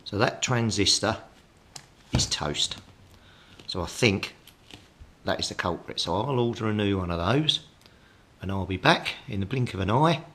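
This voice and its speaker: A man's voice: lowish voice, expressive, unhurried, pauses often, fairly bright tone. A British man talking through hands-on electronics repair as a hobbyist host, practical and explaining things step by step. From Britain. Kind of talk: hands-on repair tutorial, talking while working.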